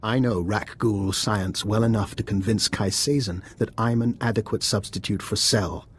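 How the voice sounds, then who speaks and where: An actor playing a resourceful, composed doctor and schemer in a video game, speaking in a measured, persuasive way. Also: male voice, lowish voice, steady tone, a little hiss or hum, close to an everyday voice. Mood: calm, persuasive. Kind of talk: video game cutscene acting.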